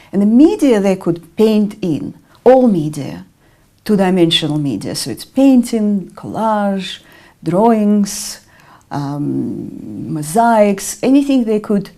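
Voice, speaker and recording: A middle-aged woman from Russia with a Russian accent, answering interview questions in a measured way with frequent ums. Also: fairly low pitch, warm tone. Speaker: interview guest, artist and educator.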